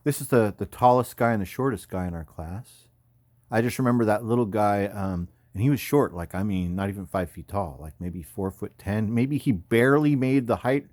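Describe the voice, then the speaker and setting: Casting: American male, 50s, lowish voice, informal rambling veteran commentary, close dry recording. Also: normal speed, darkish tone, dry recording. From California, United States. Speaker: army veteran, former paratrooper and combat medic. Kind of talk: casual off-the-cuff commentary over photos.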